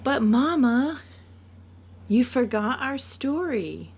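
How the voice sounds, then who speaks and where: A woman's voice: sits high, slow pace, pitched above the performer's own voice, full character voice. A woman voicing an eager, insistent little girl in an amateur audiobook reading. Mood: concerned pleading. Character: excited, eager little daughter pleading with her mother. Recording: clear steady background noise.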